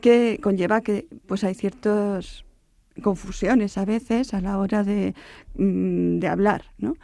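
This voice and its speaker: A woman professor, explaining her research in a radio interview and often pausing to search for words. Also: medium pitch, steady tone, gravelly, warm tone, dry recording. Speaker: anthropology professor, interview guest.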